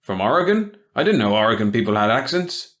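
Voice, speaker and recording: A man's voice: mid-range voice, big pitch swings, brisk, a bit bright. A man voicing a young small-town driver who speaks in a blunt, puzzled way.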